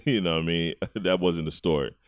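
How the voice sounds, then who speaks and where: A very deep-voiced man in his forties hosts a casual podcast in a relaxed drawl, chuckling as he tells stories. The recording is dry and close, with no room sound. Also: moderate pace, no room sound. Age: 40s. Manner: laid-back, chuckling storyteller. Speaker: podcast co-host. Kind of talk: casual chat.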